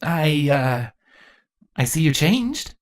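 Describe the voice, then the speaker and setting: A man voicing a sheepish, awkward male changeling king with a hesitant, halting delivery in a storybook reading. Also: on the high side, sing-song, voice pushed up. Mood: tentative.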